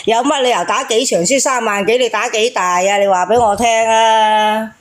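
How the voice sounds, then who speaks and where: A woman's voice: on the high side, moderate pace. A woman calling in, arguing and challenging with pointed rhetorical questions. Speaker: caller.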